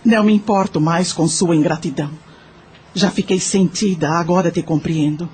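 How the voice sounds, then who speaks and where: A woman's voice: lowish voice, moderate pace, a little mellow, slightly rough. A woman playing a wounded, forgiving aunt in an audio drama, with an earnest, emotional, plaintive delivery. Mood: hurt, resigned. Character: wounded, forgiving aunt confessing her sad past. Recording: music under the voice.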